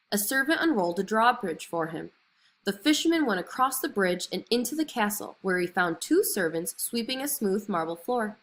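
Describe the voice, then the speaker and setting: Woman, children's storyteller, scripted narration, expressive reading, lively swinging pitch.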